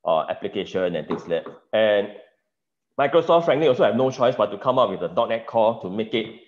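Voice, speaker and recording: A man with a Singaporean English accent giving a casual open source news update at a meetup, halting, with many fillers and "right?" tags, over a video call. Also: lowish voice, lively intonation. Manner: halting, many fillers and restarts, tag questions. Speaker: meetup presenter. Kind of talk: casual tech news talk. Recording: roomy, dull video-call audio.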